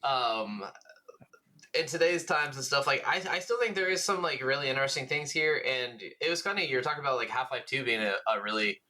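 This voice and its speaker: A man with a bright, keen-edged voice at a middling pitch co-hosts a gaming podcast. He talks casually and enthusiastically, rambling from one thought into the next and swearing now and then. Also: animated, fairly quick. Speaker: podcast co-host.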